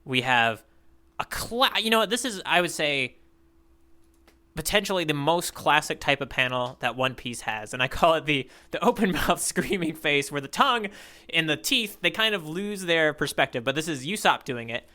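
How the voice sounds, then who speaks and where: Male podcast co-host, slightly high, bright voice, loose and jokey. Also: lively intonation, moderate pace. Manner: playful, teasing, rambling with fillers. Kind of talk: casual chat.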